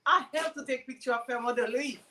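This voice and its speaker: A woman's voice: high voice, fast talker, crowd noise. A woman, a guest chatting in a bubbly, effusive way. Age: 40s. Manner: bubbly, effusive, laughs easily. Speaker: guest, radio host. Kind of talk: casual chat.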